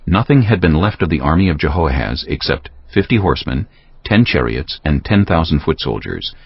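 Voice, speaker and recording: A man narrating a scripture audiobook in a solemn, measured, dramatic style. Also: very deep voice, sing-song, vocal fry, breathy edge, no room sound. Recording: dull audio with music behind.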